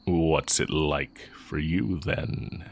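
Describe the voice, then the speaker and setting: Very deep, unhurried dark mage played by a man. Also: steady tone, vocal fry, slight background noise, exaggerated voice. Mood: curious, probing. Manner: deliberate, teasing, with long pauses. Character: exiled heretic male magic teacher, sly, brooding, tender dark mage. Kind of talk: intimate audio roleplay acting.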